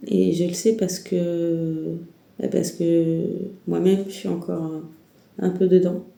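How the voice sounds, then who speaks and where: A woman's voice: lowish voice, flat delivery, takes it slowly, creaky, dark tone, reverberant. A woman with a heavy head cold and sore throat gives a rambling, hesitant spiritual guidance talk, speaking straight to the viewer. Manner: hesitant, rambling, many restarts and fillers. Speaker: tarot reader.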